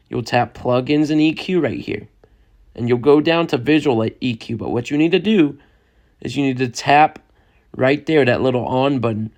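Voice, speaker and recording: A young man, a music producer, giving a loose, chatty step-by-step software lesson and often checking in with the listener. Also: mid-range voice. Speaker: music producer teaching a tutorial. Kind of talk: casual step-by-step software tutorial.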